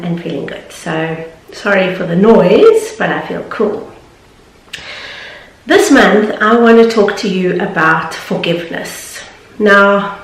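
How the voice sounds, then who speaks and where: Chatty woman, slightly nasal. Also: medium pitch. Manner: chatty, earnest, laughs easily. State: slightly nasal from hay fever. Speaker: vlogger and confidence coach. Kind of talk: casual talk to camera. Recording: echoey room.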